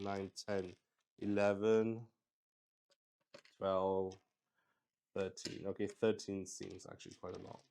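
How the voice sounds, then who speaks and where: Slow-paced man thinking out loud, halting. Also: on the low side, creaky. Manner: halting, thinking aloud, repeats words; swears casually. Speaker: host playing a solo tabletop roleplaying game. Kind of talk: casual live-stream chat with game narration.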